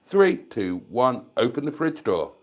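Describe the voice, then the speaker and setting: A man's voice: mid-range voice, very animated, measured pace. An older man with a British accent hosting a casual livestream from home, congested with a cold and coughing, calling his viewers darlings in a rambling, self-mocking way. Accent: British English. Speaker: YouTube livestream host. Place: at home, near the fridge. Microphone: desk microphone.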